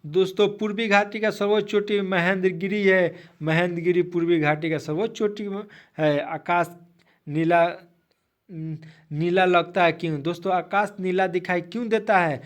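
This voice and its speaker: A man teaching an exam-prep quiz, reading out questions haltingly and repeating the answers to his listeners. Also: high-pitched, steady tone. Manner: repetitive, asks then restates answers, addresses listeners as friends.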